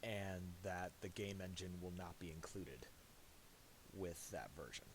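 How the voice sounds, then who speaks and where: A man narrating a casual software tutorial, thinking aloud in a halting way. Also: sits low. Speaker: tutorial presenter. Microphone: voice-over for a screen recording. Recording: hissy amateur voice-over.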